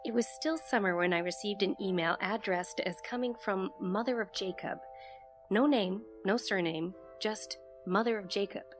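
Actress playing lady investigative reporter narrating a fiction podcast, measured storytelling, medium pitch, bit of music behind. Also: close to an everyday voice. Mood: measured, intrigued. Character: inquisitive woman investigative reporter recounting her case. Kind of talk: scripted audio drama narration.

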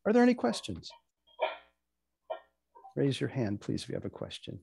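A man's voice: medium pitch, wildly swooping pitch, moderate pace, creaky, noisy background. A man hosting and moderating an online event over a video call. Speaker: host and moderator.

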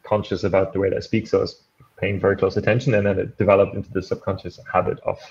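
A man's voice: sits low, little pitch movement, rapid-fire, darkish tone. A young man who speaks English as a second language, with a German accent touched by a slight Irish lilt. He chats casually on a video call from home and fills his sentences with "um" and "like". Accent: German, with a slight Irish tinge. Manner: rambling, full of fillers like "um" and "like". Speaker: meetup participant. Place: bright room at home with shelves, indoors. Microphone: headphones, laptop on a video call. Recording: dull lo-fi call audio.

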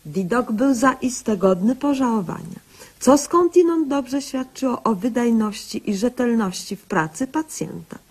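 A woman's voice: a little high, animated, a little slow, darkish tone, breathy edge. An actress playing a self-important young woman doctor who narrates her own cases in a pompous, official way in a radio comedy sketch. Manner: pompous, bureaucratic, mock-official.

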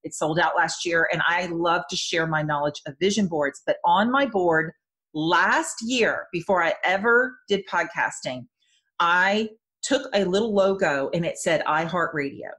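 A woman's voice: on the low side, a bit bright, slightly rough. A woman podcast host with a Southern American accent, chatting enthusiastically with her guest. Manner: chatty, enthusiastic, quick asides. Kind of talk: casual interview chat.

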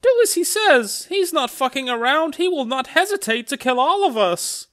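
A man's voice: extremely high pitch, big pitch swings, moderate pace, fairly bright tone, voice pushed up, heavily acted. A man voicing a stern woman who gives an urgent warning in a webcomic read-aloud.

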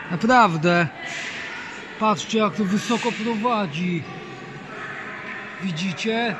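A young man giving casual, enthusiastic handheld commentary to his viewers about lifts. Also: sits high. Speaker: lift enthusiast vlogger. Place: indoor lifts and lift lobbies. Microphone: handheld phone. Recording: noisy handheld phone in lift areas.

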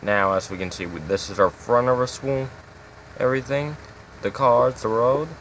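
A man's voice: medium pitch, normal speed, fairly bright tone, slight background noise. A man hosting his own game channel, giving a casual, rambling tour of his builds with lots of fillers.

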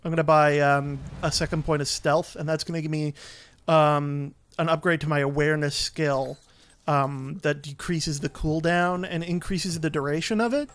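A man giving casual, explanatory gameplay commentary, often halting mid-sentence as he goes. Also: on the high side, fairly even intonation, a bit bright. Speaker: video game commentator.

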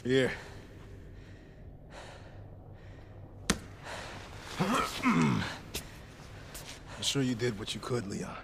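An actor playing a wounded middle-aged male police lieutenant, gruff, weary and commanding. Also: mid-range voice, big pitch swings, slow, full character voice. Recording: reverberant game audio with faint noise.

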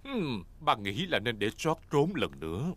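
A man voicing a big, jolly daddy pig telling stories in a Vietnamese cartoon dub, playful and animated. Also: medium pitch, a little slow, dry recording, full character voice. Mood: fatherly.

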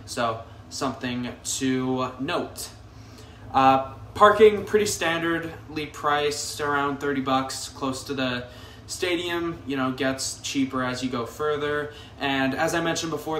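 Young guy vlogging from a hotel room, relaxed and upbeat, lots of uh's, echoey and kinda far from the mic. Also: medium pitch, a little hiss or hum. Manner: easygoing, upbeat, frequent fillers and restarts. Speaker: arena review vlogger. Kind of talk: casual vlog review.

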